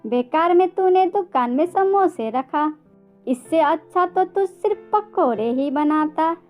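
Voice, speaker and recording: A woman's voice: very high voice, animated, slow pace, darkish tone, soft background music, full character voice. A woman voicing a concerned, chiding mother bird who gives fretful advice in a cartoon story.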